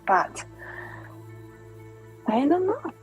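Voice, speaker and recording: A woman playing a coy, gossiping noblewoman in a tabletop game, speaking in an arch, theatrical way with hesitant, drawn-out phrasing. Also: sits high, very animated, lo-fi sound, faint music behind, voice pushed up, exaggerated voice. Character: gossiping, coy noblewoman feigning disbelief at lurid rumors.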